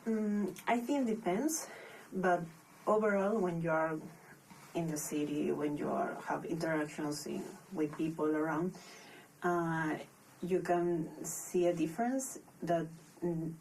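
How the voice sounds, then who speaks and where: A young woman from Peru with a Peruvian Spanish accent, a podcast guest speaking English as a second language, thoughtful and pausing often to restart her phrases. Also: fairly low pitch, darkish tone, recorded from a distance, a little hiss or hum, rough recording. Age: young adult. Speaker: podcast guest, master's student. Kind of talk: casual interview.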